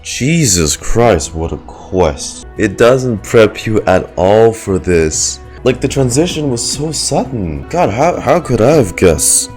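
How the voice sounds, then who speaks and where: A man giving casual, earnest reaction commentary as a game streamer, speaking in emphatic, halting phrases. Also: on the low side, big pitch swings, darkish tone, soft background music.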